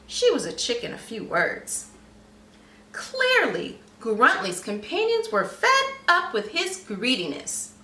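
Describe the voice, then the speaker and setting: A woman reading a children's storybook aloud in a teacherly, expressive way, pausing to question and explain. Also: sits high, a little hiss or hum. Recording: roomy, echoey, slightly distant microphone.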